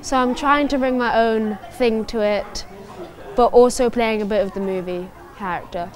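A woman's voice: fairly high pitch, normal speed, some chatter behind. Chatty English teenage girl. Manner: chatty, eager with likes. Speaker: student actor. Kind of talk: interview. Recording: music playing behind.

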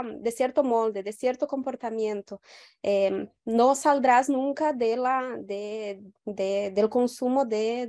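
A woman's voice: a little high, darkish tone. A young woman from Brazil with a Brazilian Portuguese accent, speaking Spanish over a video call in an academic discussion, hesitant with many restarts. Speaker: audience member.

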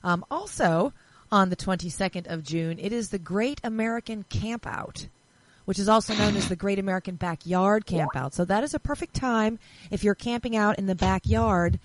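A woman's voice: medium pitch, normal speed, no room sound. A woman presenting a casual news segment on a podcast panel, chatting among friends.

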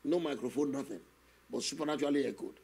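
An older man, a guest minister telling his testimony slowly and earnestly in a studio interview, often adding "you know". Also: medium pitch, a little mellow. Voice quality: gravelly, husky. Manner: slow, earnest storytelling with many "you know" asides. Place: television studio, seated on a set. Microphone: stand microphone in front of him.

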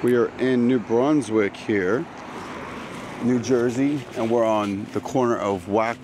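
An American man rambles casually through a walking vlog in an easygoing, slangy way. His mid-pitched voice is a little raspy, as he is getting over being sick. He speaks into a handheld mic outdoors, with clear street noise around him. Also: slow. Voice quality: slightly raspy. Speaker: vlogger hosting a walking tour. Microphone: handheld microphone. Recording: noisy outdoor street ambience.

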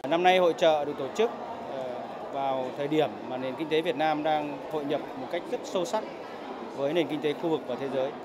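A man's voice: on the high side, fairly quick. Man in a noisy expo hall interview. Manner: formal, with fillers and restarts. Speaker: trade promotion official. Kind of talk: on-camera interview. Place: indoor exhibition hall. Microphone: handheld reporter mic. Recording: loud hall background noise.

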